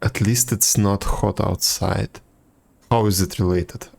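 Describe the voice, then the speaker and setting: A man's voice: on the low side, fairly even intonation, slow, creaky, a little mellow, a little hiss or hum. A man chatting casually with viewers while livestreaming a game, laid-back and teasing. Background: game music.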